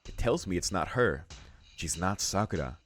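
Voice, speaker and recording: A man's voice: low-pitched, animated, normal speed, fairly bright tone, slight background noise. A man hosting a let's read, narrating visual novel text aloud and adding casual reactions of his own. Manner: casual, swearing asides between read lines. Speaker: let's play host, reader. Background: game music.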